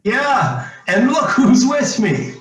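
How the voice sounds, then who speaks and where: A man playing an avuncular, folksy, smooth-talking old man in old-time radio drama style. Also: extremely high pitch, very animated, takes it slowly, distant mic, over a noisy crowd, exaggerated voice. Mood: bracing, hearty. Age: older. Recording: echoey room, off-mic, faint music.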